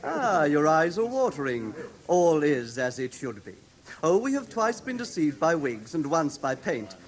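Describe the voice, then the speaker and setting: An actor playing a brisk, officious, pompous official in a British radio drama, with a British accent and clipped, declamatory delivery. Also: male voice, on the high side, sing-song. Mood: effusive. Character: brisk, officious, pompous red-haired male league official.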